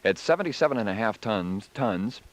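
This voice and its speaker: A man's voice: on the low side, animated, brisk. An American man working as a radio DJ, delivering music patter and news in a 1970s style. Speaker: radio DJ and newsreader.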